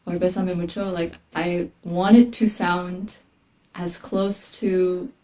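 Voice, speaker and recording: Female guest musician, native Korean speaker, low-ish pitch, sits back from the mic. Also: level tone, slow pace, mellow, recorded from a distance, rough recording. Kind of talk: casual interview talk.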